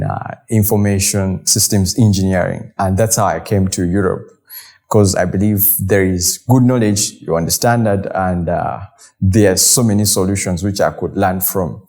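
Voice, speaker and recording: A man entrepreneur giving a measured, thoughtful documentary interview. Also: sits low, a little mellow.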